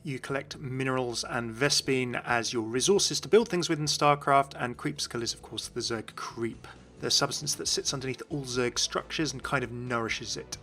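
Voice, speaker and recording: A man's voice: mid-range voice, moderate pace, bright, forceful tone, soft background music. A man narrating a video as a game commentator, explaining things in an informal way.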